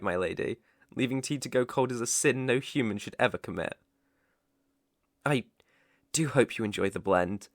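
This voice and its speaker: A man with a British accent playing a polite, devoted butler in an ASMR roleplay, speaking formally and deferentially with soft attentiveness. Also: medium pitch, no echo, clean studio sound, natural voice. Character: polite, devoted young male butler, gently teasing.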